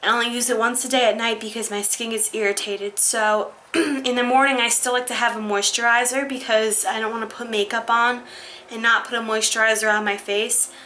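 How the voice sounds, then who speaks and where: Young woman, beauty vlogger, slightly hoarse, chatty, higher voice, crisp bright tone. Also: little pitch movement, fairly quick, a little hiss or hum. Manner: chatty, demonstrating while talking, many ums and likes. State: slightly hoarse, recovering from illness. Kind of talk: casual skincare tutorial.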